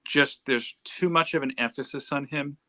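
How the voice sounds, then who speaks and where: A man's voice: mid-range voice, normal speed, sharp tone, no room sound. A man chatting casually as a panelist on a group livestream, rambling and wandering off into tangents. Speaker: panelist on a comics discussion stream. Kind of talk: casual chat.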